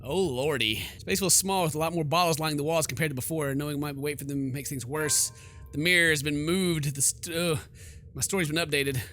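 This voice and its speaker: Bright, forceful male gaming host. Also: fairly high pitch, a little fast, faint music behind. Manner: jokey, theatrical reactions. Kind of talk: let's play commentary mixed with reading game text aloud.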